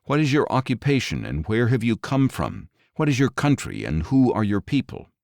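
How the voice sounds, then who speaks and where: A man's voice: medium pitch, wildly swooping pitch, moderate pace, creaky, darkish tone, no room sound, studio quality, voice pushed up. A man voicing alarmed, demanding ancient sailors in an urgent manner for a dramatic scripture audiobook.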